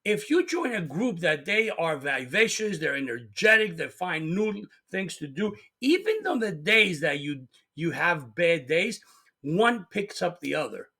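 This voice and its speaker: A man's voice: fairly high pitch, big pitch swings, unhurried, pushed and bright, slightly rough. A middle-aged man giving an earnest, emphatic motivational talk as host, sometimes repeating words as he presses a point.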